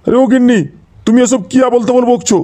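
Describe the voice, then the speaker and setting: A man's voice: very high voice, little pitch movement, fast, noisy background. A man voicing a flustered, pleading old village grandfather in a comedy cartoon. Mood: exasperated. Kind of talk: Bengali comedy cartoon dialogue.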